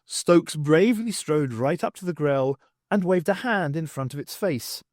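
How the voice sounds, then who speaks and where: Male narrator for sci-fi audiobook: lively, expressive, slightly high voice. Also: unhurried, no room sound, clean studio sound. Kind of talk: scripted narration of science fiction.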